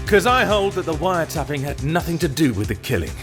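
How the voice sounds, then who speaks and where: Cold theatrical prosecutor, smug, declamatory, very animated pitch, loud music behind. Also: male voice, quick pace. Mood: stern. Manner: pompous, precise, declamatory. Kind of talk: video game voice dub.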